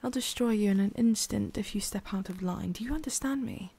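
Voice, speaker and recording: Creaky-voiced tsundere witch, played by a woman. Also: mid-range voice, brisk, dark tone. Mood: flustered, prickly. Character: centuries-old tsundere forest witch, haughty but secretly soft, woman. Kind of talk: ASMR roleplay, addressing the listener.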